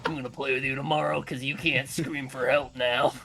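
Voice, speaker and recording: A man chatting with his teammates during a shooter game, excitable and swearing freely, speaking over a headset mic. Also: mid-range voice, creaky. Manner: excitable, profane, quick to exclaim. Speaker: gaming teammate. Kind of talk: casual gaming chat.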